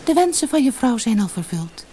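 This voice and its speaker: Lady doing a tiny magic goldfish, high up, brisk and kindly granting wishes, storybook. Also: big pitch swings, dark tone, a little hiss or hum. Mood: reassuring. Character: small magical golden fish, obliging wish-granter. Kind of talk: fairy-tale audiobook character voice.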